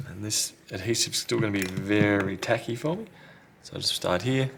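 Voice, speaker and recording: A man's voice: fairly low pitch, moderate pace, creaky, background noise. A man talking casually and thinking aloud as he presents a hands-on repair tutorial. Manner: unscripted, thinking aloud while working. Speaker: repair technician, video host. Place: indoors at a repair workbench.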